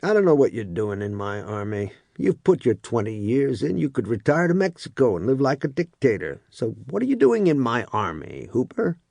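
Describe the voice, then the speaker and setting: A man's voice: mid-range voice, sing-song, normal speed, vocal fry, hardly any pauses, a little mellow, no echo, pitched above the performer's own voice. A man voicing a wry, probing male army first sergeant in an audiobook reading, with a sardonic, mock-psychological manner. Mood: puzzled.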